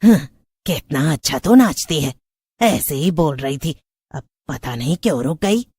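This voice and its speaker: Woman voicing a creaky, vengeful witch ghost. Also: deep voice, animated, unhurried, a little mellow, dry recording, studio quality, exaggerated voice. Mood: taunting, commanding. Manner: commanding, theatrical. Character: vengeful female witch ghost obsessed with dancing anklets. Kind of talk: dramatic horror cartoon story acting.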